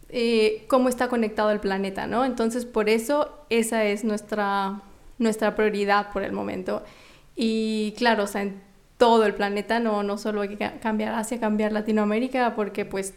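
A woman with a Mexican accent and a fairly high voice. She speaks as an interview guest, explaining her points in a casual, conversational style. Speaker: interview guest, founder of a volunteer project.

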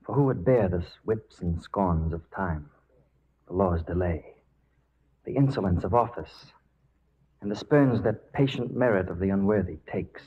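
Low-voiced brooding frontier gentleman. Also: a little slow, slightly rough. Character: brooding, educated, consumptive frontier tavern keeper. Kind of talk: 1940s radio acting. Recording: muffled, noisy 1940s radio recording.

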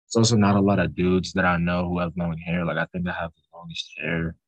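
A teenage boy with a very deep voice, chatting casually in a group about his hair and himself in a relaxed, rambling way. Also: a little fast, dry recording, muffled recording. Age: teens. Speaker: student.

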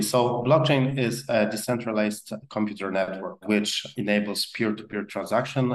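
A man with a Polish accent, a guest answering interview questions in a brisk, earnest way with occasional fillers. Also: fairly low pitch. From Poland. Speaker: guest, blockchain executive. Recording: roomy echo.

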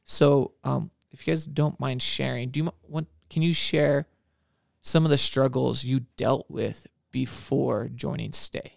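A man's voice: mid-range voice, fairly even intonation, measured pace, gravelly, dry recording, clean studio sound. A man hosting a podcast, interviewing guests in a casual conversational style. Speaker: podcast host. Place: office, close dry sound.

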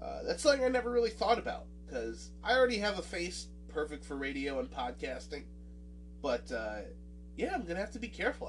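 A man's voice: medium pitch, recorded from a distance, rough recording. A man with a nasal voice and a swollen, busted lip talks casually as a solo podcast host, rambling and poking fun at himself. He sits back a little from the microphone, and faint guitar music plays underneath. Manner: rambling, self-deprecating, frequent fillers and restarts.

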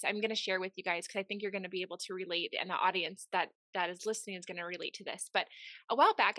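Bright, upbeat woman podcast host. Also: medium pitch, no echo. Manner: enthusiastic, storytelling. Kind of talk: faith-based podcast conversation.

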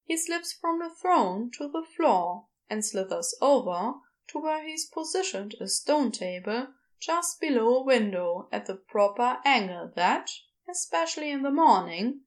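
Slow, higher-pitched woman narrator. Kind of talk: scripted fiction narration for a podfic.

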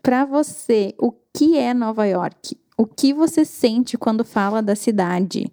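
A Brazilian woman hosting and asking viewer questions in a friendly, animated, chatty way. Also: fairly high pitch, slow. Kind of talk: casual conversation.

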